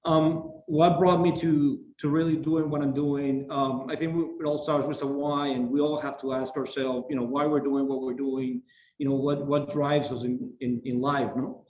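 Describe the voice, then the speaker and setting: A middle-aged man from Venezuela, giving a halting orientation talk over a video call, full of fillers and restarts. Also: mid-range voice, little pitch movement, darkish tone. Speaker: program founder. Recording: roomy echo, faint noise.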